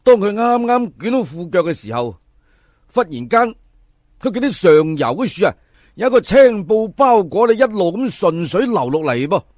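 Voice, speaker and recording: A Cantonese man tells a folk story with lively swings of pitch. His voice sits a little high for a man, and he is theatrical and chatty, addressing the audience directly. The recording is dry and close. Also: normal speed, dry recording. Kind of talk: dramatic folk-tale narration in episodes.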